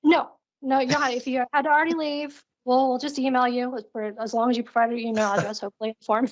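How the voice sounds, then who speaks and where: The recording is a slightly dull, lo-fi online call. A woman with a highish voice co-hosts and presents a live demo. She talks casually, in an upbeat and chatty manner. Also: steady tone, fast.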